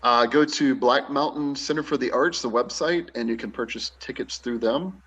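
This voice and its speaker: A man's voice: medium pitch, moderate pace, fairly bright tone, slightly rough. A man speaking casually as a show guest, with a joking, self-deprecating manner. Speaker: guest, actor and show producer. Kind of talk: casual chat.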